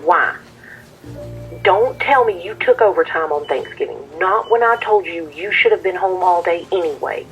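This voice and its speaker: A woman's voice: on the low side, normal speed, lo-fi sound. An exasperated wife pleads and scolds in an audio drama. Her voice comes through a thin telephone filter, with faint music underneath. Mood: exasperated, reproachful.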